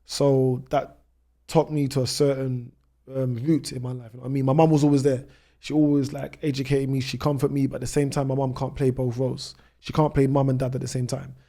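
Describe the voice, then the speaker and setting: A man's voice: medium pitch, level tone, fairly quick, vocal fry, warm tone. A British man in his thirties telling his own story conversationally as a podcast guest, with frequent "you know" asides. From United Kingdom. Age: 30s. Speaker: travel vlogger, podcast guest.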